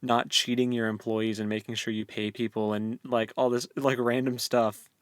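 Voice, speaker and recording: A man's voice: mid-range voice, little pitch movement, normal speed, no echo. Dry-recorded young American man, chatting casually. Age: late 20s. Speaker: podcast co-host. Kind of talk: casual book club chat.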